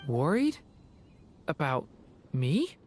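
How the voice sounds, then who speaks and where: Soft-hearted young guy in a game, earnest, kinda hesitant, music under it. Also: mid-range voice, wildly swooping pitch, very slow, heavily acted. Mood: apologetic, touched. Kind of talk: video game voice acting. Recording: music behind the voice.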